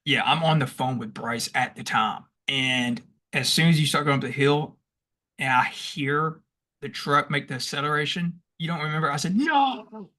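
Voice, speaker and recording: Lively bright-voiced young man telling stories. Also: a little high, normal speed. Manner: animated, acts out past shouts; rambling with restarts and laughs. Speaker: podcast co-host. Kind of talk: casual storytelling chat.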